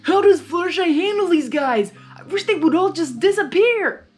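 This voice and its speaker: A woman's voice: very high voice, lively intonation, normal speed, soft background music, voice pushed up. A woman voicing a flustered, overworked young male cartoon creature in a story reading, strained and whiny. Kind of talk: dramatized fanfiction storytelling.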